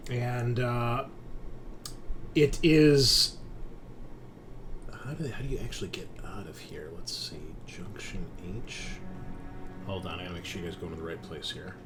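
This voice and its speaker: Low-voiced male tabletop game master. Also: unhurried, long pauses. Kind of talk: improvised tabletop game narration. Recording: faint room noise.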